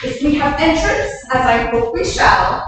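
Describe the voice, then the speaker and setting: A woman's voice: sits high, unhurried, a bit bright. A woman playing a bold, cunning young French warrior woman in a declamatory Shakespearean staged reading. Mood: commanding. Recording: echoey distant room recording, faint noise.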